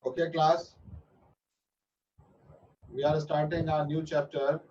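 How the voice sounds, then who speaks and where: Indian English man, maths teacher, slightly high voice, muffled distant video-call sound, patient and repetitive. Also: little pitch movement, slow, a little mellow. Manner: patient, repeats instructions, switches into Hindi. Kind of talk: online class teaching. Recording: muffled distant online-class audio.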